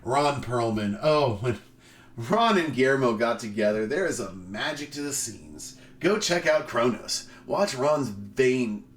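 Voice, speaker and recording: Man in a theatrical, wildly animated delivery. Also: mid-range voice, a little hiss or hum. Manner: theatrical, emphatic, swooping delivery with pauses. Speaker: streamer. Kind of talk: dramatic reading of a film review.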